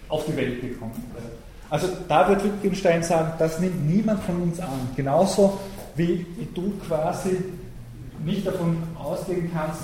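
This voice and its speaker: A man's voice: on the high side, dark tone. A man giving an academic talk and answering questions, thoughtful and hesitant, with many fillers. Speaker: lecturer. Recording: very echoey room, faint noise.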